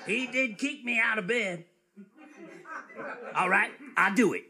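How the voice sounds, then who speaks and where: A man playing a muddled, boastful old-timer in live comedic radio theatre, with a raspy, folksy, rambling delivery. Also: sits high, a little slow, crowd noise. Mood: indignant boasting. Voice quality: creaky, raspy. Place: live stage before an audience.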